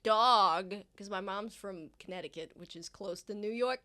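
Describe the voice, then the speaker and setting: A woman's voice: medium pitch, moderate pace, pushed and bright. Chatty young woman game master. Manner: chatty, rambling, many fillers and self-mocking asides. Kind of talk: casual chat and session recap.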